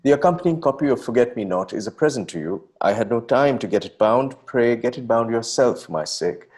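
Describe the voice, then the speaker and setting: A man's voice: medium pitch, expressive, fairly quick, darkish tone, close to an everyday voice. An actor playing an effusive young nineteenth-century poet, reading his letters aloud in a florid, impassioned, theatrical way. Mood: anguished, pleading.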